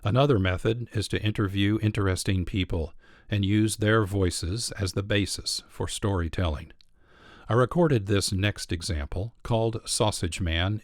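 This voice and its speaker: A man's voice: low voice, no room sound, studio quality. A man presenting an audio storytelling programme in scripted, explanatory narration.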